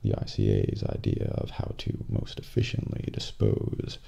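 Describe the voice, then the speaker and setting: Gravelly, soft-spoken assassin acted by a man. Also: rumbling low, steady tone, muffled recording, heavily acted. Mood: smug, cold. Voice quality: breathy, gravelly, near-whisper. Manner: deliberate, polite, menacing. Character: cold, calculating male assassin agent gloating over his victim. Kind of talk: soft-spoken ASMR role play. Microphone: close binaural microphone. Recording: close binaural mic, faint noise.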